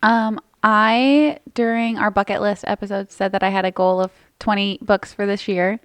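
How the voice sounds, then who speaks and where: A woman's voice: medium pitch, steady tone, normal speed, smooth, no room sound. A woman in her twenties co-hosting a podcast, talking casually and rambling with lots of fillers. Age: 20s. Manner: chatty, rambling with many fillers. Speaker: podcast co-host. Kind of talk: casual chat.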